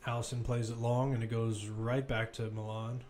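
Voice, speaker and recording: A man's voice: mid-range voice, flat delivery, normal speed, a little mellow, a little hiss or hum. Man calling live football play-by-play. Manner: running description with self-corrections and asides. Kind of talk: live sports commentary.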